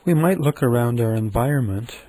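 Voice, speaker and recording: Mellow husky guy doing a guided meditation, gentle and calm, lots of pauses. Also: mid-range voice.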